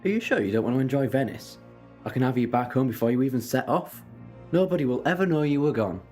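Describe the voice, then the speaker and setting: A man's voice: medium pitch, lively intonation, quick pace, a little mellow, faint music behind, natural voice. A man playing a kindly, assured time-travelling adventurer in an audio drama, reassuring and breezily understated. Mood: playful, understated.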